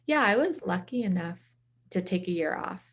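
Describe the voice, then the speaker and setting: A woman's voice: medium pitch, lively intonation, moderate pace. A woman from the US East Coast, speaking as an interview guest in casual, reflective conversation. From from the US East Coast, around Boston.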